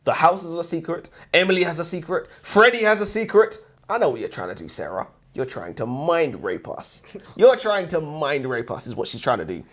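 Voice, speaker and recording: Male podcast co-host, very expressive pitch swings, heavy slang, emphatic repetition, casual banter. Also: brisk, few pauses. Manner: slangy, emphatic, repeats phrases. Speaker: book review podcast co-host. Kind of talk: casual banter and book discussion.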